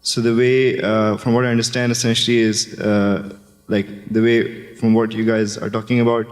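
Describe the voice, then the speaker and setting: A man from Pakistan asks a question from the audience, his voice low and quite monotone. He hesitates and searches for words as he goes. The hall adds a long reverb. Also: normal speed. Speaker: audience member asking a question. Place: university lecture hall.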